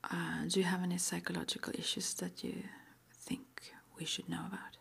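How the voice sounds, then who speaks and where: A woman whispering gently and hesitantly in an ASMR roleplay, as an interviewer asking intake questions in a breathy voice. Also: on the low side, level tone, moderate pace. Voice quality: breathy whisper with some creak. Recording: faint background noise.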